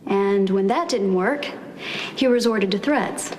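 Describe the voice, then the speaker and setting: An actress playing an earnest, composed young witness who recalls events in a measured way in a soap drama. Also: female voice, mid-range voice, background noise, lightly acted. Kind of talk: television soap drama acting.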